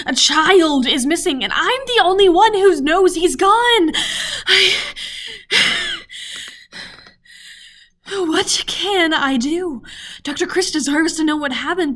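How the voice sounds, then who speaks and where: A woman playing an anxious, guilt-ridden young science intern in a radio play, halting and distressed. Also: high voice, fairly bright tone.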